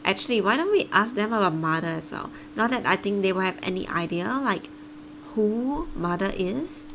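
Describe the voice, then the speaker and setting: A woman with a mid-pitched voice gives casual commentary while streaming a game. She muses aloud and reacts to what happens. There is noticeable noise behind her. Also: lively intonation, moderate pace. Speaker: live streamer playing a game.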